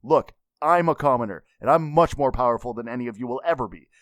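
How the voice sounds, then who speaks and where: A man's voice: medium pitch, quick pace, no echo, studio quality, lightly acted. A man voicing a cocky anime mentor who lectures bluntly and mockingly. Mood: scolding. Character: cocky, scolding adult anime mentor, a self-declared commoner.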